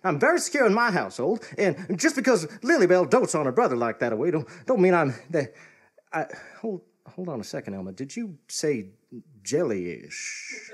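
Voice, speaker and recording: Radio comedy role: male actor as self-important, suspicious town bigwig, raspy, theatrical, hugely swooping intonation. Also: fairly high pitch, very animated, normal speed. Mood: blustering. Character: pompous, suspicious small-town married man. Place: live stage before an audience.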